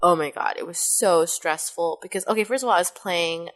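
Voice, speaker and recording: A woman's voice: fairly low pitch, fairly quick, fairly bright tone. A woman with a youthful, kid-like voice and Mandarin as her first language, chatting casually and rambling on a podcast. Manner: chatty, rambling, laughs often. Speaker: podcast host and voice actress.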